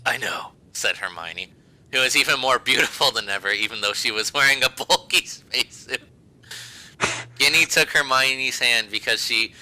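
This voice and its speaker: A man's voice: mid-range voice, sharp tone, rough recording. A man reading fan fiction aloud as a podcast host, amused and hamming up the emphasis. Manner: breaks into laughter while reading, hammy emphasis.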